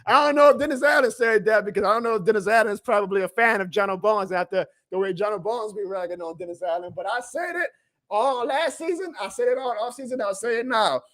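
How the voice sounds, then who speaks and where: A man hosting a live sports reaction stream, excitable and emphatic, his voice going hoarse. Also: high-pitched, fast talker, dry recording. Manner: excitable, emphatic, plugs merch, reads chat aloud. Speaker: sports fan livestream host.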